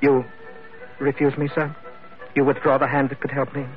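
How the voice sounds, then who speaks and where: A man's voice: medium pitch, level tone, normal speed, lightly acted. A man playing an aggrieved, pompous, wheedling merchant with flowery formal speech in a vintage radio drama. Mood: indignant, dignified. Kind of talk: 1940s radio drama acting. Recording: muffled vintage radio recording, faint music.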